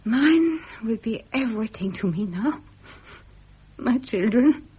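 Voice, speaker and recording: A British actress playing an anxious, devoted, gentle royal wife in a period radio drama, speaking hesitantly and emotionally. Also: female voice, a little high, expressive, slow pace, breathy edge, lo-fi sound, heavily acted. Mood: sorrowful, resigned.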